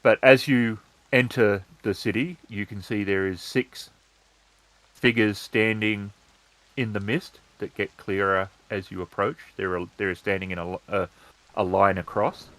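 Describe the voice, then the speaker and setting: A man narrating a tabletop roleplaying game as game master, in a halting, measured way. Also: fairly low pitch, fairly even intonation, a bit bright, no echo, rough recording.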